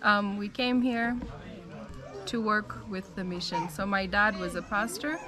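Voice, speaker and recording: A woman's voice: medium pitch, fairly even intonation, a little slow. Chatty American woman, crowd behind. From United States. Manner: chatty, frequent "um" and "like". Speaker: guest telling her story. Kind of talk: casual storytelling.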